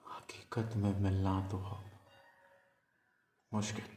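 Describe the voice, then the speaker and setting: A man performing a poetic love monologue as a storyteller, in a wistful, deliberate manner with pauses. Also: deep voice, level tone, warm tone, roomy sound, slight background noise. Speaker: spoken-word storyteller. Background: music.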